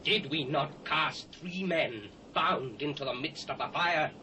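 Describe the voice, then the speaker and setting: A man's voice: on the high side, unhurried, pushed and bright. A British actor playing a proud, commanding king of the ancient world in a dramatised scripture reading, declamatory and imperious. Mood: astonished. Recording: faint hiss, old cassette transfer.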